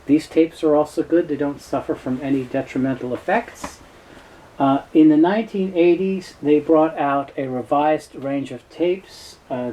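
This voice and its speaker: A middle-aged man with a soft-edged, mellow voice presents an informal explainer. He speaks in halting phrases, often pausing on an uh before carrying on. There is faint noise behind his voice. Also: medium pitch, low-quality recording. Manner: hesitant, halting phrasing with frequent uh pauses. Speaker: presenter, tape specialist. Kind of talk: informal product overview explainer.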